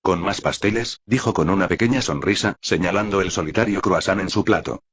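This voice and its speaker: A man's voice: deep voice, a little fast, husky. A man reading scripted fiction aloud as an audiobook-style narrator.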